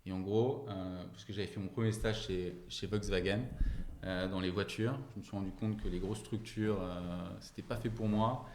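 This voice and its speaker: A man's voice: sits low, fairly even intonation, normal speed, darkish tone, slight background noise. A man in his late thirties answering casually as an interview guest, hesitating and repeating words as he thinks. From France and Germany. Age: late 30s. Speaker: company founder, podcast guest. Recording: roomy, echoing interview sound.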